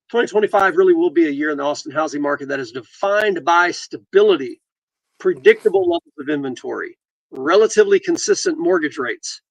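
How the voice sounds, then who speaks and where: A man's voice: no echo, rough recording. Joking man with dry close sound. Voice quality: deep voice. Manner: joking, teasing, halting restarts. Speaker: podcast host and realtor. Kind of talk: casual chat, reading headlines aloud.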